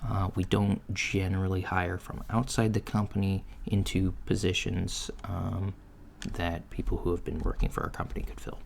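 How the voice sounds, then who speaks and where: A man playing a mild, matter-of-fact alien floor manager in a soft-spoken, hesitant ASMR job interview roleplay. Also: flat delivery, normal speed, gravelly, few pauses. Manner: hesitant, low-key, procedural, murmured acknowledgements. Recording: lo-fi with faint background noise. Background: computer keyboard typing and clicks.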